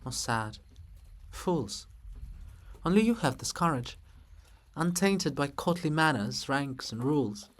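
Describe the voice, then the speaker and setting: A man's voice: on the high side, expressive, a bit bright, full character voice. Man acting a solemn, proud, grieving princess. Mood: solemn, bitter. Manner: solemn, measured, formal. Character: Princess Olha, stern, grieving, proud monument of a female ruler.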